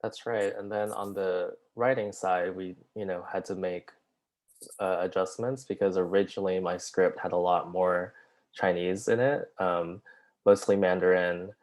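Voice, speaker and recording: A man speaking on a festival Q&A panel, his voice sitting very low. He explains his writing choices in a relaxed, thoughtful, loosely phrased manner. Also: unhurried. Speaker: writer-director at a Q&A.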